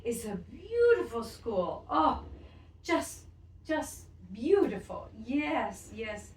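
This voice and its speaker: Actress plays an anxious, doting grandmother: lively pitch, echoey distant stage sound. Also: drawn-out words, full character voice. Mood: delighted. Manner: anxious, exclaiming, repeats herself.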